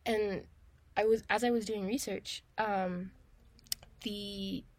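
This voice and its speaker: A young woman co-hosting a podcast, her voice at an ordinary middle pitch. She talks casually and a little haltingly, filling her sentences with "like" and "um". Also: steady tone, a little mellow. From Tanzania. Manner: hesitant, many fillers like "like". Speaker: podcast co-host. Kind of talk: casual chat.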